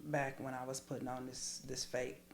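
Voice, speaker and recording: An American woman in candid casual conversation, speaking in an emphatic, expressive, colloquial way. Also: very deep voice, moderate pace. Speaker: podcast conversation partner. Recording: roomy echo with clear background noise.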